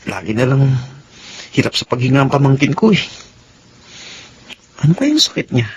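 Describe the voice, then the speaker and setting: An actor playing a caring young son in a radio drama, chattily thinking aloud. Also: male voice, mid-range voice, sing-song, moderate pace, a little hiss or hum, close to an everyday voice. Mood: musing concern.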